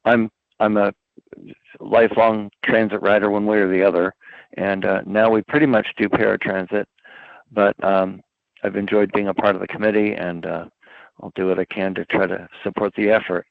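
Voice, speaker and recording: Older man, gravelly, on a tinny line. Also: fairly low pitch, little pitch movement. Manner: halting, many fillers and restarts. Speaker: committee member. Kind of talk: self-introduction at a meeting. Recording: tinny phone-line audio.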